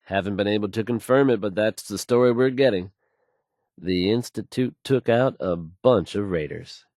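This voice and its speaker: A man playing an assured, low-voiced radio news DJ. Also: a little mellow, no echo, clean studio sound, lightly acted. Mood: measured, serious. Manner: assured, measured, folksy announcer delivery. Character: confident smooth male radio news DJ in a post-apocalyptic city. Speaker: radio DJ and newsreader. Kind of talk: scripted radio news bulletin.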